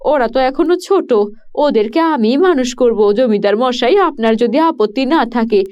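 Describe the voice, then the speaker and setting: A woman voicing a gentle, childless farmer's wife in a cartoon, speaking earnestly. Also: a little high, animated, measured pace, dull audio.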